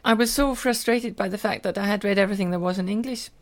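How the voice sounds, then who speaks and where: A woman's voice: mid-range voice, fast talker. An older woman with a Scottish accent, telling personal stories in an earnest, detailed way as an interview guest. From Scotland. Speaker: podcast guest, teacher and lecturer.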